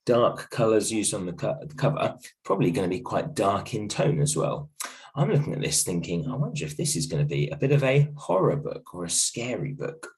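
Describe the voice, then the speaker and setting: A male teacher with a croaky voice from illness, giving a gentle, reassuring video lesson from home with many ums and restarts. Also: lowish voice, very animated, a little fast, low-quality recording. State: croaky voice from a cold, coughing. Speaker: primary school teacher. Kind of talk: recorded video lesson for a class.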